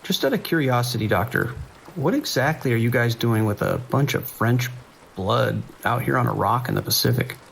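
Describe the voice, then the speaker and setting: Kindly small-station deputy played by a man: reassuring, unhurried, mid-pitched with some fry, light noise behind. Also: fairly bright tone, rough recording. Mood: calm, businesslike. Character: calm, kindly male sheriff's deputy dispatcher on night shift. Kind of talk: naturalistic audio drama acting.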